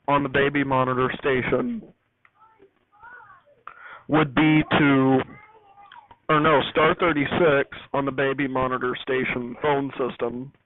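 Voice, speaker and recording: A man giving an unscripted technical walkthrough as a hobbyist host, rambling and correcting himself as he explains. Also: mid-range voice. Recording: noisy, tinny phone-like audio.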